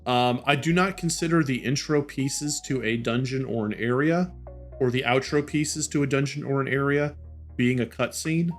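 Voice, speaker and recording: A man's voice: medium pitch, normal speed. A man co-hosting a casual advice talk, speaking in a blunt, opinionated way. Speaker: co-host of a game-making show. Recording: music behind the voice. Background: background music.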